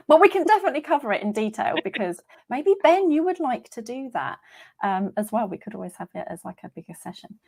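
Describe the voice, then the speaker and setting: A woman's voice: a little high, sing-song, fairly quick, gravelly, slightly rough. A woman hosting an online video-call session, chatty and giggly, with plenty of ums. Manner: chatty, giggly, many fillers. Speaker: host.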